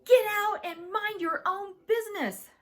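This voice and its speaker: A woman's voice: high-pitched, animated, a little fast, fairly bright tone, voice pushed up. A woman voicing an indignant, hot-tempered young girl in a children's audiobook, with a dramatic manner. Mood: indignant, shouting. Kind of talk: children's audiobook character acting.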